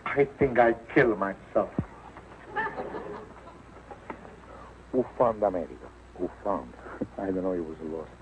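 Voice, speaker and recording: An actor playing an excitable, good-natured Italian immigrant pupil who speaks broken English with a thick Italian accent in a vintage sitcom. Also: male voice, deep voice, normal speed. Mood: baffled, muttering. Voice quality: broken English with stretched words. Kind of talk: 1940s television sitcom acting. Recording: tinny, noisy old 1940s broadcast.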